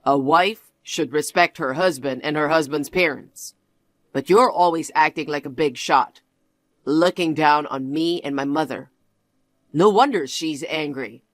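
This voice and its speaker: A woman's voice: deep voice, a little slow, no room sound, pitched below the performer's own voice. A woman voicing an arrogant young husband who lectures his wife with cold scorn. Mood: condescending. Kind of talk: one-voice drama reading.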